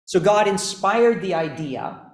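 A male teacher lectures slowly and deliberately, pausing between phrases. His voice sits a little high. The room around him is echoey. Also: animated. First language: not English, also speaks Estonian. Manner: slow, deliberate explaining with pauses. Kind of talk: classroom lecture.

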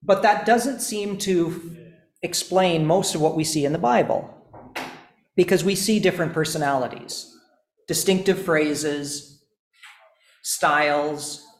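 Slow, deliberate male teacher in an echoey room. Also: a little high. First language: not English, also speaks Estonian. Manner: slow, deliberate explaining with pauses. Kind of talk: classroom lecture.

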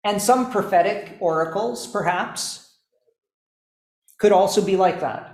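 A man teaching a class, explaining slowly and deliberately with pauses. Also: high-pitched. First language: not English, also speaks Estonian. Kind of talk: classroom lecture. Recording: echoey room.

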